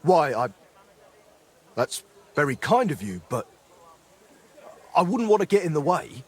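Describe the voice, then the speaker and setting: An actor playing a remorseful middle-aged former blacksmith in a dramatic game, speaking in an earthy, apologetic way. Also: male voice, a little high, very animated, a little slow, breathy edge, full character voice. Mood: hesitant, grateful.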